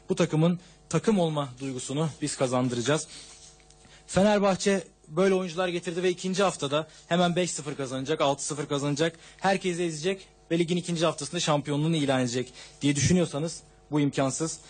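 A man's voice: fairly high pitch, darkish tone, breathy edge. A man interpreting a football coach's long answer at a press conference, talking steadily through a table microphone.

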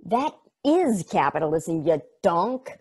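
A man reading an excitable, chatty space probe in a table read over a video call, rambling off into tangents. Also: sits high, slow pace, husky, exaggerated voice. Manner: enthusiastic, rambling, tangent-prone.

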